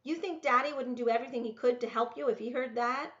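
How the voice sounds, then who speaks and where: A woman's voice: fairly high pitch, quick pace, a bit bright, voice pushed up, lightly acted. A woman voicing a brave, defiant, earnest mountain girl in a storybook. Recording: roomy, echoey, dull lo-fi sound.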